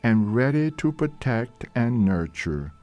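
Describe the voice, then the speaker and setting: A man guides a meditation with a soft-edged, mellow tone and very slow pacing. His phrases are gentle and measured, separated by long pauses, and his pitch moves expressively up and down. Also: big pitch swings. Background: soft meditation music.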